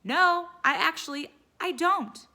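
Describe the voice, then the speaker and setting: A woman's voice: very high voice, takes it slowly, pushed and bright, voice pushed up, full character voice. A woman voicing a moody, exasperated teenage girl in a storybook, screamy and defiant. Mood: angry, defiant.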